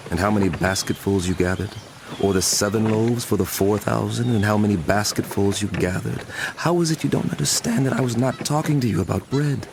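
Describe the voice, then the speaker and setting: A man's voice: lowish voice, creaky, background noise. An actor playing a grave, commanding male teacher in a dramatized scripture recording, speaking with solemn, emphatic delivery. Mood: reproachful. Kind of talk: dramatized scripture audio acting.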